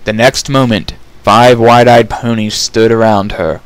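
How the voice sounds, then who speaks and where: A man's voice: fairly low pitch, steady tone, unhurried. A man narrating a fantasy story as a scripted dramatic reading.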